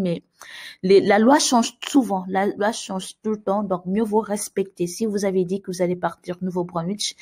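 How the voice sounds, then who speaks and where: A woman's voice: medium pitch, a little mellow. Woman, channel host, casual advice, hesitant, many fillers. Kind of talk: casual advice video answering viewer emails.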